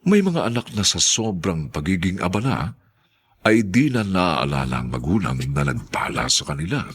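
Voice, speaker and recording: A man narrates a radio drama with grave, theatrical delivery. His voice is low and husky, and his intonation rises and falls dramatically through measured scripted phrases. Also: very animated.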